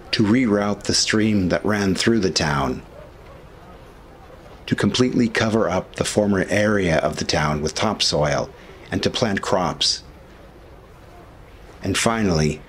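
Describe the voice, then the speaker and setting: A man reading scripted documentary narration with commentary in a measured, formal way. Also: sits low, slight background noise. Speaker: history video narrator.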